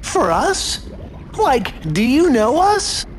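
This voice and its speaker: A man playing a goofy, cowardly, always hungry young slacker in a cartoon video game, with a reedy, cracking voice and slangy, drawn-out talk peppered with "like". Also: very high-pitched, very animated, a little hiss or hum, heavily acted. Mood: hopeful. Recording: background music under dialogue.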